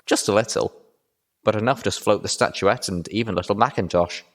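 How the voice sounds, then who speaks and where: A man reading a story aloud as an expressive audiobook narrator. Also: lowish voice, a little fast. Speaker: audiobook reader.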